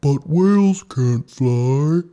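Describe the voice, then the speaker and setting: A man's voice: medium pitch, expressive, warm tone, smooth, lo-fi sound, pitched above the performer's own voice. A man doing a sobbing, soulful beluga whale. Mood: mournful. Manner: sobbing, slow and pitiful. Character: sad trapped male beluga whale, soulful singer.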